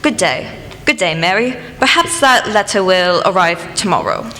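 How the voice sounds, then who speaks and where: A woman's voice: medium pitch, animated, moderate pace, pushed and bright. A woman acting in an amateur radio play as a talkative rustic postman, using dialect turns and emphatic repetition. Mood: solemn. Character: folksy rustic village postman, talkative and gossipy. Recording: echoey hall with faint noise.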